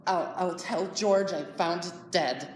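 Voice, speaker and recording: A woman's voice: lowish voice, unhurried, lightly acted. A woman playing a simple-minded, anxious farmhand man on stage, with plaintive rustic speech. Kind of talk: dramatic stage monologue. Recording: echoey hall, far from mic, noisy.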